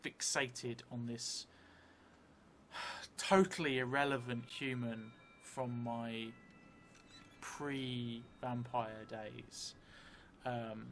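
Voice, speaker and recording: A middle-aged man improvising narration for a solo tabletop game, thinking aloud thoughtfully in halting, short phrases. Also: mid-range voice, steady tone, fairly bright tone, a little hiss or hum. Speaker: solo role-playing streamer. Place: indoor room set up for streaming, seated at a table. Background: music.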